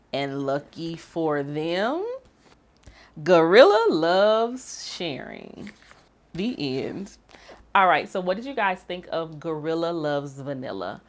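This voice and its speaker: A woman reading aloud and hosting for children, expressive and speaking directly to young listeners. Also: lowish voice. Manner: animated, addressing young listeners. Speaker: children's story reader and activity host.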